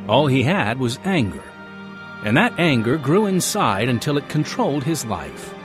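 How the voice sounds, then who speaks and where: A man's voice: mid-range voice, sing-song, moderate pace. A man announcing and narrating a radio drama series in a scripted delivery. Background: music behind the voice.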